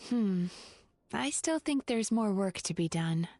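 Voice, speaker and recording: A woman playing a composed, caring, gently wry young therapist with a measured, articulate manner in an anime-style video game. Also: medium pitch, lively intonation, no room sound. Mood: thoughtful, caring.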